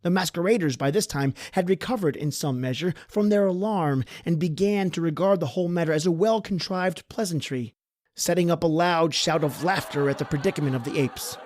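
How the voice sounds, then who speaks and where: A man narrating a horror story as podcast host, with theatrical, deliberate phrasing. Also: fairly high pitch, a little mellow.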